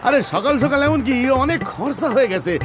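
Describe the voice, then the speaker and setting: A man's voice: extremely high pitch, expressive, fairly quick. A man playing a cheeky, stingy husband in a comic cartoon, theatrical and wheedling, with an East Bengali accent. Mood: defensive. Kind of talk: exaggerated comic cartoon acting. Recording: music behind the voice.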